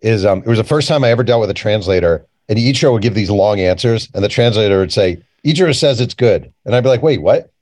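Lower-voiced man, candid and casual, dry sound. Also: a little mellow, no echo. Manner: candid, colloquial, emphatic through repetition. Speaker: author and journalist guest. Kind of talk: casual conversational interview.

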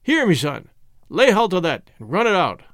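A cocky seaman from an adventure audiobook, voiced by a male narrator. He is bossy and scornful, with salty colloquial speech and a very lively delivery. The recording is dry and close. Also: high-pitched, normal speed, no echo, exaggerated voice. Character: cocky, resourceful old-salt sailor, scornful of landsmen.

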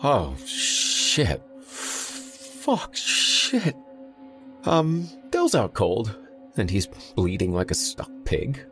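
Male reader as tense young man, raised pitch, urgent, snappish, profane, swinging intonation, audiobook character. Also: sing-song, measured pace, darkish tone, soft background music. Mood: alarmed, hissing. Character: tense young man taking charge in a crisis.

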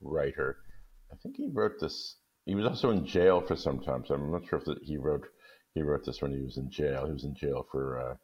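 Man, extremely deep pitch, guest story reader, dull lo-fi sound. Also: brisk. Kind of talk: casual chat, then reading a short story aloud. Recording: dull, muffled lo-fi audio.

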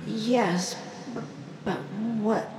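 A woman playing a frightened mother in a horror audio drama, speaking in short, breathy, halting phrases. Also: mid-range voice, fairly even intonation. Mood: fearful, hesitant. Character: terrified woman, a mother lost in the woods. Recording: echoey with background noise.

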